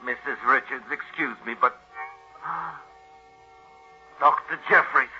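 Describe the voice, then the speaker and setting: An actor playing a formal, deferential household butler in an old-time radio mystery. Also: male voice, medium pitch, slow, over loud music, close to an everyday voice. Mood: calling, alarmed. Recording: muffled old radio recording.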